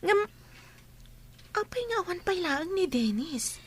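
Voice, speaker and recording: A woman's voice: very high-pitched, very animated, slow pace, full character voice. A woman with an Ilocano accent playing a chatty, admiring young student in an audio drama. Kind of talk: Ilocano radio drama acting.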